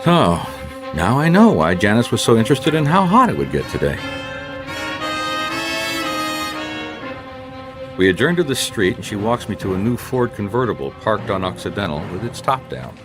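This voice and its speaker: An actor playing a weary, wisecracking private investigator in a radio detective drama, with wry, exasperated deadpan delivery. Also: male voice, on the low side, brisk, over music. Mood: wry narration. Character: weary, wisecracking male private investigator narrating his case.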